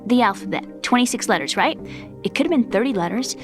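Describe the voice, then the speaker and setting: A woman's voice: fairly high pitch, lively intonation, quick pace, over music, lightly acted. Game role: young female computer scientist, played by a woman, earnest, precise, slightly superior, challenges with pointed questions; score playing behind. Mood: lecturing. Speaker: video game character. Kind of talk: scripted game cutscene dialogue.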